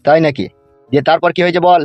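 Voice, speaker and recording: Man playing a rapid-fire, high-pitched scolding village father. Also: steady tone, no echo, close to an everyday voice. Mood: exasperated questioning. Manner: scolding, coarse, blunt. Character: gruff, exasperated middle-aged village father. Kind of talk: comic cartoon dialogue. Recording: dull, muffled cartoon audio. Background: faint music.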